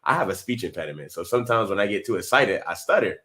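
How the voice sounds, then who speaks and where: Man, twenties, lowish voice, relaxed, rambling with fillers. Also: fast talker. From North Carolina. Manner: relaxed, laughing, many fillers and restarts. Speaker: guest actor. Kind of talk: casual interview.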